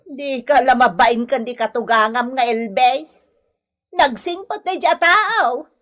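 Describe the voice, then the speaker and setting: A woman's voice: high voice, slow pace, a bit bright. An actress playing a reproachful older mother-in-law in a comedy radio drama, grumbling and admonishing.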